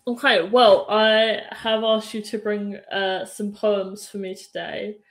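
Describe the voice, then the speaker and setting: A woman's voice: medium pitch, flat delivery, slow pace, roomy sound. A woman hosting an interview, asking long rambling questions full of "like" and "sort of". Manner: chatty, rambling questions with many fillers. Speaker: podcast interviewer. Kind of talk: casual interview chat.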